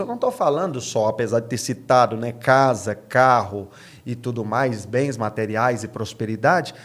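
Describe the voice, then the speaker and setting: A man of thirty-six with a Brazilian accent, a pastor speaking as a podcast guest, explaining earnestly and repeating phrases for emphasis. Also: medium pitch. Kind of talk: podcast interview answers.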